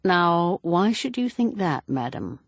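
A woman reads a measured, careful police inspector, a man kept formal and guarded. Her low, husky voice stays near her own, dry and close. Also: sing-song, no room sound, full character voice. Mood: reserved. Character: reserved male police inspector.

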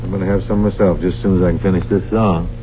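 Husky wisecracking man on old radio. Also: low-pitched, steady tone, very fast, over loud music. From Spokane, US Pacific Northwest. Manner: dry wisecracking, playful. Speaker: radio show host and singer. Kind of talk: scripted comic radio banter. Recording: dull old radio broadcast.

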